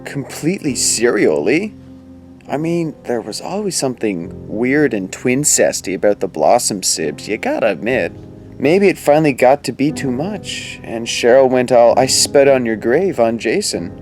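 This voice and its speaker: Animated, slangy, sardonic teenage boy played by a man. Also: medium pitch, moderate pace, faint music behind, lightly acted. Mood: sardonic, musing. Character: wry, pop-culture-quoting skeptical teenage boy sidekick. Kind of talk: conversational audio drama acting.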